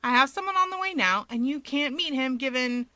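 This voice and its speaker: An earnest young hero as a woman reader plays him, with a bright, lifted voice. He speaks emphatically, trying to placate while plainly exasperated, in a storybook reading. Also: high voice, lively intonation, a little fast, dry recording, voice pushed up. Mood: reassuring. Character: exasperated young male hero, placating but easily annoyed.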